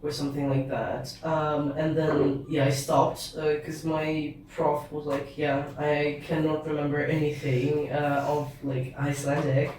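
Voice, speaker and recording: Woman podcast host, casual and halting, recorded off-mic in a roomy space. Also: deep voice, flat delivery, darkish tone, slight background noise. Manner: halting, many fillers and restarts. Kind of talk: casual chat. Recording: echoey room, far from the mic.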